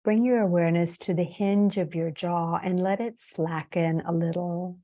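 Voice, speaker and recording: A woman leading a guided meditation as a yoga teacher, soothing and unhurried, leaving long pauses between phrases. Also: on the low side, fairly even intonation, mellow.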